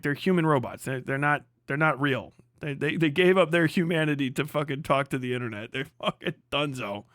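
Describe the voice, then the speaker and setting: Guy streamer doing a casual sweary rant, bit high voice, super dry sound, sarcastic and over the top. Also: a bit bright, dry recording. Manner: profane, slangy, blunt; sardonic, hyperbolic. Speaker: livestream host. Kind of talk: casual livestream rant, chatting with viewers.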